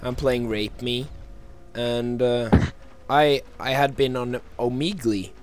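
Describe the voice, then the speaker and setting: A young man telling anecdotes in casual chat, halting and jokey. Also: mid-range voice, fairly even intonation, a little hiss or hum. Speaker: co-host of a casual gaming video.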